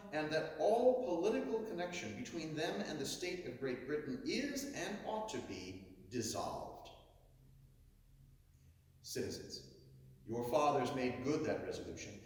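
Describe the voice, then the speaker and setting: A man giving a declamatory reading of a historic oration, with booming, dramatic swells and drawn-out stresses. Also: very animated, darkish tone. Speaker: orator reading a historic speech. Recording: echoey hall, heard from far off.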